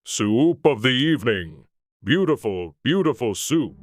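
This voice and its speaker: A man voicing a melancholy, weepy old sea creature in a storybook, with a mournful, drawn-out delivery. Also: mid-range voice, big pitch swings. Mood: sorrowful singing.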